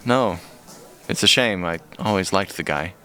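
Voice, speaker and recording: A man's voice: sits low, lively intonation, unhurried, pushed and bright, heavily acted. An actor playing an anxious, wry young American guy in an audio drama, incredulous and easily exasperated. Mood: wry, subdued.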